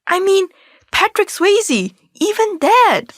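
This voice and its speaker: A young woman playing an expressive, romantic friend in an audio drama. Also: very high voice, takes it slowly, no room sound, clean studio sound, full character voice. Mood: dreamy, emphatic. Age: young adult. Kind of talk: scripted audio drama dialogue.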